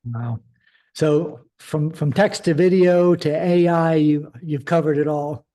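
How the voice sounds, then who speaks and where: A man hosts a live webinar, answering his guest with short approving remarks and now and then tripping over the start of a sentence. His voice sits a little high but sounds mellow and warm. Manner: affirming, brief reactions, halting restarts. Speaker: host.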